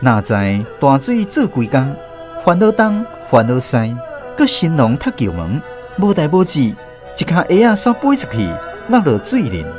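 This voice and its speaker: A man's voice: a little high, huge pitch swings, moderate pace, music in the background. A man with a Taiwanese accent narrates a radio drama as a first-person storyteller.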